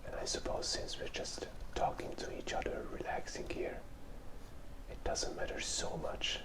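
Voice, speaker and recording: A person with a cold whispers softly and with pauses, giving ASMR drawing commentary close to the microphone. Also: rough recording. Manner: soft whispering, hesitant pauses. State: has a cold. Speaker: ASMR host and illustrator. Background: drawing tablet and keyboard sounds.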